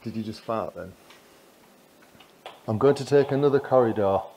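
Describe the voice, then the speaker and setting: A man talks informally to camera as he walks through indoor corridors. His delivery is chatty and casual, a running commentary with an easy readiness to chuckle. Clear background noise sits behind his voice. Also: mid-range voice, moderate pace, dark tone. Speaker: vlogger testing walkie-talkies. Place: corridors inside a residential building.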